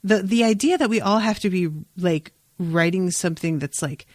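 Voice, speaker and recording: A woman's voice: lowish voice, normal speed, no echo, studio quality. A woman hosting a podcast, talking casually and informally with playful, opinionated asides. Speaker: podcast host. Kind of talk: casual chatty podcast discussion.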